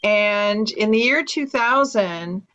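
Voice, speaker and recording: A middle-aged woman from Chicago, an author speaking on a panel over a video call from her home study, recounting a personal story in an earnest, steady way. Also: mid-range voice, slow, lo-fi sound. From Chicago, USA. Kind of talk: personal account on a panel talk. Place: home study with bookshelves, indoors.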